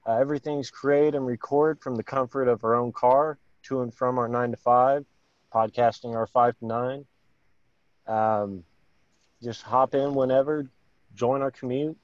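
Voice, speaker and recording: A man from Kansas, a podcast guest, pitching his show in a laid-back way with lots of fillers. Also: steady tone, normal speed, dry recording. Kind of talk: casual chat. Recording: dry, slightly muffled mic.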